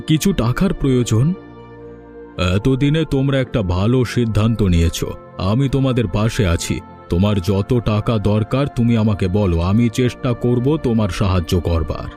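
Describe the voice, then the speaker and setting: Man playing a mellow, kindly village man. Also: mid-range voice, close to an everyday voice. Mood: earnest. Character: kindly village men, earnest and well-meaning. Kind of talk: Bengali cartoon voice acting.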